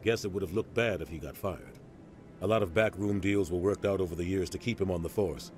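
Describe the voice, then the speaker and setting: An actor voicing a seasoned, pragmatic military officer in a video game, explaining with measured authority. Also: male voice, deep voice, a little mellow, slight background noise, lightly acted. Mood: pragmatic, firm. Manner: matter-of-fact, authoritative explaining.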